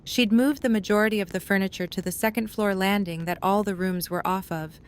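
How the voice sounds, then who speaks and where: Mid-pitched woman narrating a story. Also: steady tone, brisk, clean tone.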